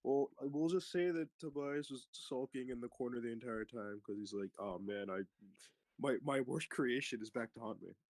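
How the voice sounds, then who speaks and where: A man's voice: mid-range voice, normal speed, a little mellow. A man talking casually as a player in a tabletop game.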